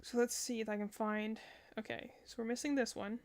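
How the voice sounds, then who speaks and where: A woman with a fairly high voice gives casual streamer commentary. She rambles as she thinks aloud, chatting through her reactions in an informal way. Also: flat delivery, brisk. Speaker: streamer reacting to game character demos.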